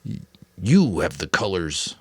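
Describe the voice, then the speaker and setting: Man acting grave, emphatic old mentor, animated pitch. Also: slightly rough, no echo, exaggerated voice. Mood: tender, encouraging. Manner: earnest, emphatic, deliberate. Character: weary, firm old male mentor, grave and caring. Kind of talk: dramatic audiobook reading.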